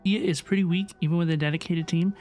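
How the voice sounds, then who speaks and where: A woman's voice: fairly low pitch, fairly even intonation, fast, darkish tone. A woman livestreaming a game, chatting casually and effusively as she answers her viewers. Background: game music.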